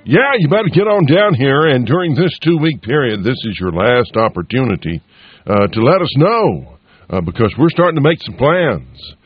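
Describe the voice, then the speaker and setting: Folksy male radio host, lively and a bit husky, dull lo-fi broadcast sound, homey drawl. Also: mid-range voice, normal speed, no room sound. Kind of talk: folksy on-air promo and appeal for donations.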